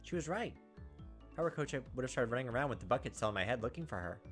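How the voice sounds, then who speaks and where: A woman's voice: extremely low pitch, lively intonation, rapid-fire. A woman reading a visual novel aloud as gameplay host, with wry, sardonic casual commentary. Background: game music.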